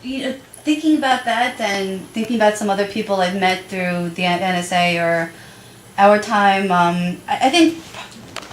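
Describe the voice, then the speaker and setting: A young woman who stutters a little, chatting as a guest about her school years. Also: lowish voice. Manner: stutters with repeated sounds. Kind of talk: casual chat. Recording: echoey room with light noise.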